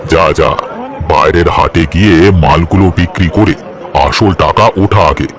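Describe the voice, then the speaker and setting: A man's voice: sits low, unhurried, crowd noise. A man voicing a bossy village moneylender in a cartoon story, with a blunt, commanding and folksy manner. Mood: kindly, bossy. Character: gruff, bossy village moneylender, a man, soft-hearted underneath. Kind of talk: Bengali cartoon story dialogue.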